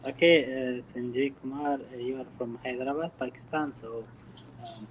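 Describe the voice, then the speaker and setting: A man from Afghanistan speaks with a mid-pitched voice, hesitating and filling pauses. Faint background noise runs under his video call audio. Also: steady tone, low-quality recording. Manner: hesitant, many fillers. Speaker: student. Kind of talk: self-introduction in class.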